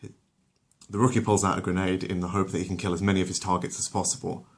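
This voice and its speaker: A man with a low voice tells a bedtime story. He narrates with drama and drops into casual, conversational asides. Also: little pitch movement, quick pace. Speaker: storyteller and channel host.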